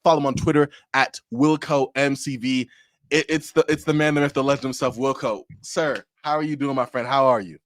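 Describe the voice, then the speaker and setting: A man hosting a basketball podcast, hyped and energetic, in casual chat with a guest. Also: mid-range voice. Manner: hyped, energetic, talks fast with restarts. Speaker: podcast host.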